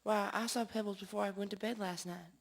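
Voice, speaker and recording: A woman's voice: mid-range voice, steady tone, fairly quick, lightly acted. A woman playing a fretful, melodramatic lady pet owner with a theatrical, plaintive and very polite manner in a comic radio drama. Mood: worried, polite.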